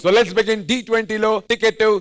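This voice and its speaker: A man hosting a dance show with comic skits, talking in a loud, exclamatory way. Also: high-pitched, little pitch movement, a little slow. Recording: loud music over the voice.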